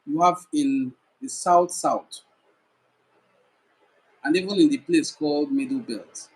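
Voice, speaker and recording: A man's voice: on the high side, slow, darkish tone, low-quality recording. A man with a Nigerian accent giving an educational talk to camera, listing points in a repetitive, emphatic way. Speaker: cultural commentator.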